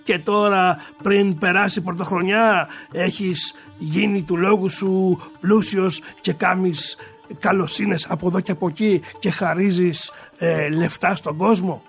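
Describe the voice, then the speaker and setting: A man's voice: high-pitched, fairly even intonation, gravelly, fairly bright tone, soft background music. A man voicing a greedy rich brother from a folk tale, coaxing and falsely caring in a rustic, theatrical manner. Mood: scolding, patronising.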